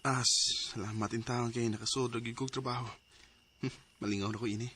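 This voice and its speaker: A man's voice: mid-range voice, fairly even intonation, a little fast, bright, forceful tone, close to an everyday voice. A man acting a lovelorn, earnest young man in a radio drama, with an emotional, pleading delivery. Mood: resigned. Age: young adult. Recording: noisy background ambience.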